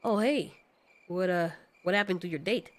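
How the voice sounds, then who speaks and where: A man's voice: sits high, moderate pace, natural voice. Man playing a laid-back winged kaiju. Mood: curious, unsure. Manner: casual, offhand. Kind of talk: amateur audio story acting.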